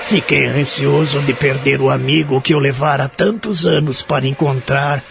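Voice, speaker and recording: A man's voice: medium pitch, steady tone, moderate pace, vocal fry, background noise, natural voice. A man acting an anxious, remorseful man of eighty-four from a care home in a Brazilian Portuguese audio drama. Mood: reflective. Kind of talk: audio drama acting and first-person narration. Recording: dull muffled audio with background music.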